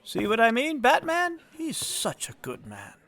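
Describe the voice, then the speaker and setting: An actor playing a kindly, trusting charity mission doctor, gentle and cheery, in a comedy audio drama. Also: male voice, very high-pitched, wildly swooping pitch, unhurried, exaggerated voice. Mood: kindly, cheerful.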